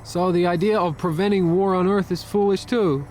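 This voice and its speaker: A man's voice: sits high, fairly even intonation, normal speed, a little mellow, lightly acted. Actor as earnest, troubled man over music. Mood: earnest, pleading. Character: earnest, troubled forty-year-old male captive war veteran. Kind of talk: radio drama acting. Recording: prominent music behind the voice.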